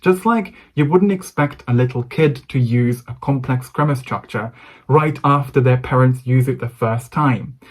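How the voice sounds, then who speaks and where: A young man with a Hungarian accent, a native Hungarian speaker, giving an encouraging to-camera English lesson. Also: mid-range voice. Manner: encouraging, direct address to viewer. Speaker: English coach.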